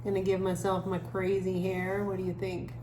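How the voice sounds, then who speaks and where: A woman giving a casual art lesson for children, musing gently aloud as she draws. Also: mid-range voice, completely flat delivery. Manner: gentle, musing, talks while drawing with trailing pauses. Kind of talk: casual creative drawing lesson, thinking aloud. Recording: echoey room with clear background noise.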